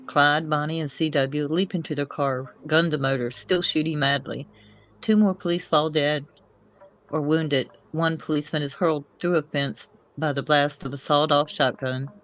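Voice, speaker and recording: A woman's voice: sits low, steady tone, moderate pace. A woman narrating screenplay action in a loose, informal way, sometimes stumbling over the script. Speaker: co-reader of screenplay.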